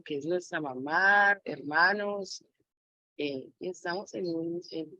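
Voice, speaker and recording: A woman's voice: fairly low pitch, no room sound, lo-fi sound. A woman speaking reflectively as an interviewee, sharing personal experience as a mother. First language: Spanish.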